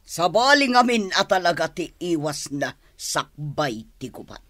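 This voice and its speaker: Scheming Filipino sidekick: animated, insinuating, close dry sound, radio drama villainy. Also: male voice, on the high side, unhurried, no echo. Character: sly, scheming henchman advising his boss.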